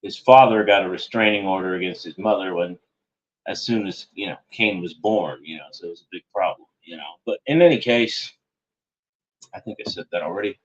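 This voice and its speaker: A man's voice: deep voice, fairly quick, highs cut off. A man hosting an internet show, delivering a meandering, sardonic monologue that keeps correcting itself. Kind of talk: rambling monologue commentary.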